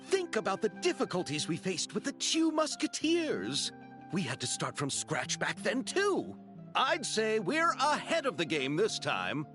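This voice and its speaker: A theatrical, flowery film director. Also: male voice, sits high, wildly swooping pitch, unhurried, over music, exaggerated voice. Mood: confident. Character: flamboyant male film director, dramatic romantic. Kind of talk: video game voice acting.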